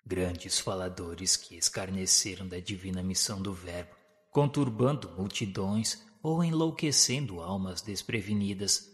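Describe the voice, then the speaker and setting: Male narrator, low voice, solemn and deliberate, long hall reverb. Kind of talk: scripted narration of a spiritist radio drama.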